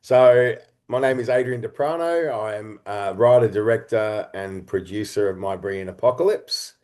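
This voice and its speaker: A middle-aged man speaking as an interview guest, telling stories in a reflective, halting and earnest way. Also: fairly low pitch, darkish tone. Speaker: interview guest, writer, director and performer.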